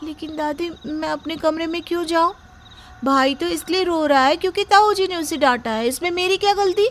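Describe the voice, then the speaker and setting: A woman's voice: extremely high pitch, normal speed, fairly bright tone, a little hiss or hum. A woman voicing a cheerful little girl in a family story. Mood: childlike. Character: small girl, cheerful and innocent.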